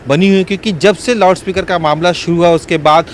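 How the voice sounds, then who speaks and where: A man giving a live, unscripted news report from location, in a halting way with self-corrections. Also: high-pitched, steady tone. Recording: faint outdoor street and traffic noise.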